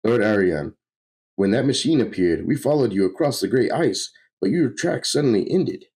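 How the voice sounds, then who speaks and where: A man's voice: lowish voice, animated, moderate pace, a little mellow, voice pushed up. A man voicing an earnest, formal courtier of Atlantis in a comic book read-aloud. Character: devoted, imploring lady of Atlantis.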